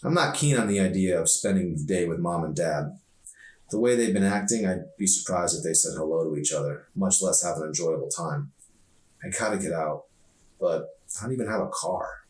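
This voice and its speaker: A man's voice: deep voice, animated, normal speed, darkish tone. A man voicing a chatty, opinionated, frustrated teenage girl in slangy, emphatic storybook dialogue. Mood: confiding, frustrated. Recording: roomy echo, distant microphone.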